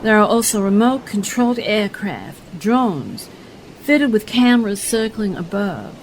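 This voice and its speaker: A woman playing a news reporter and newsreader in a radio play, with a clear broadcast delivery. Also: mid-range voice, very animated, a little slow, a little hiss or hum. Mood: businesslike.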